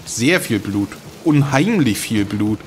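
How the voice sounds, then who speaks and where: Unhurried man dryly commenting on a game. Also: medium pitch, animated, darkish tone, slight background noise. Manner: dry, joking. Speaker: let's player commenting on gameplay. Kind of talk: casual gameplay commentary.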